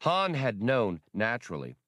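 A man's voice: mid-range voice, huge pitch swings, unhurried, fairly bright tone, no echo, studio quality. A man narrating scripted adventure fiction as a dramatic audiobook reader.

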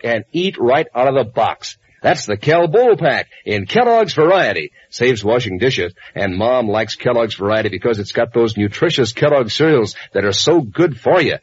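A man's voice: medium pitch, breathy edge. An American man announcing in a 1940s radio advertising style, folksy, eager and salesmanlike. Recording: muffled old radio broadcast recording.

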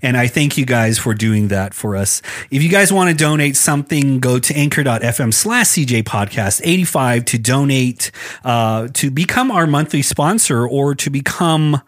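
A man's voice: medium pitch, animated, moderate pace, fairly bright tone. A man co-hosting a podcast in a studio, joking and rambling with lots of fillers in casual chat. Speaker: podcast co-host.

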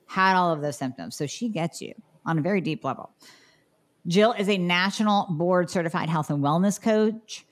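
A chatty, enthusiastic woman hosts an interview. Her voice sits somewhat low. She talks in a relaxed, conversational way. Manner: chatty, enthusiastic, reads bio aloud. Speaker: podcast host. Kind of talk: casual interview.

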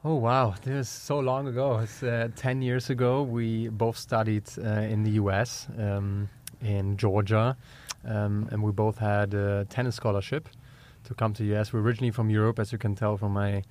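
A man's voice: lowish voice, flat delivery, moderate pace, hardly any pauses, a little mellow, a little hiss or hum. A German man in his thirties with a German accent, a guest on a podcast, speaking hesitantly with many restarts. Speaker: guest, company co-founder. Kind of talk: casual interview talk.

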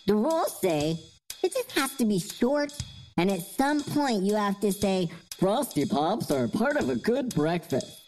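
A woman's voice: mid-range voice, very animated, a little slow, a little mellow, soft background music. A woman playing a bossy, earnest young girl with schemes, in a comedic audio sketch. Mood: eager. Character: bossy, scheming young girl, prize-obsessed best friend.

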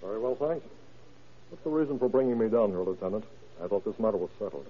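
An actor playing an evasive, indignant gentleman under suspicion in a classic radio drama. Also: fairly low pitch, expressive, fast talker, natural voice. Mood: cool, evasive. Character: smooth-talking, evasive, indignant gentleman suspect. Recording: muffled, tinny old radio broadcast.

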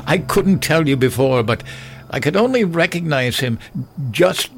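A man playing a gentle, bereaved elderly husband and father in a horror audio drama, hesitant and pleading. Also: fairly high pitch, expressive, a little slow, vocal fry, slight background noise. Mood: horrified, fearful.

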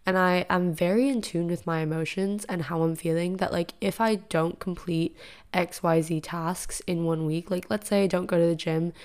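A young woman talks through her own solo podcast from her living room, chatty and full of fillers. Her pitch sits on the low side, and her voice is raspy and a touch deeper from just having woken up. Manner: chatty, rambling, filler-heavy. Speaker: podcast host. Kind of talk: casual solo podcast monologue.

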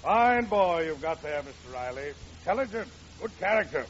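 Casting: genial American business magnate, fairly high animated voice, courteous, muffled old radio comedy. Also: male voice, moderate pace. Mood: genial, approving. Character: courteous, approving big-business industrialist man. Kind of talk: old-time radio sitcom acting. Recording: muffled vintage radio recording.

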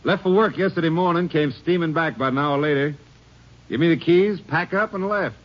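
A man playing a folksy rooming-house landlord in 1950s radio drama, halting and repeating himself. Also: a little high, lightly acted. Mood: hesitant, gossipy. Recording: muffled, hissy 1950s radio recording.

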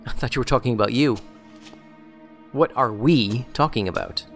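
A man plays a federal special agent in an audio drama. He sounds measured and dry, choosing words deliberately as he probes, at an ordinary male pitch. Faint music runs behind him. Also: a bit bright, lightly acted. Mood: dry, guarded.

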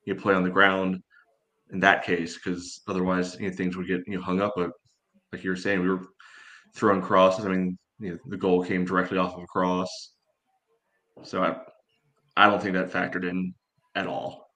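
A man giving casual sports analysis in a halting, measured way, padding his sentences with filler phrases. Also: deep voice, monotone, sharp tone. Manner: halting, measured, peppered with "you know". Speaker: sports podcast panelist.

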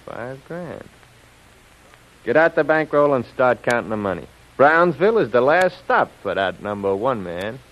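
A man's voice: medium pitch, sing-song, unhurried, noisy background. An actor playing a scheming, cocky gangster henchman with slangy, conspiratorial tough talk in a 1930s radio serial style. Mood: eager, confident. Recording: muffled old radio recording.

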